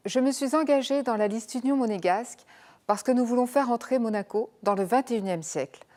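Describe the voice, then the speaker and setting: A woman candidate making a measured, earnest campaign statement. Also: a little high, darkish tone, faint music behind.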